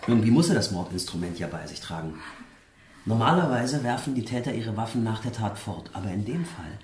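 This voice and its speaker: An actor playing a chatty, confident police officer on a murder case in a radio drama. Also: male voice, lowish voice, expressive, fairly quick, a little mellow, echoey room, slight background noise, lightly acted. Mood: matter-of-fact.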